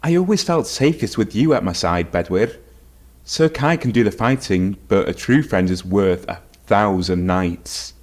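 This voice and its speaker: An actor playing a naive, nervous young prince in a comic radio play, hesitant, bewildered and earnest. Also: male voice, lowish voice, animated, unhurried. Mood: hesitant, sincere. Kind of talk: radio play comedy acting.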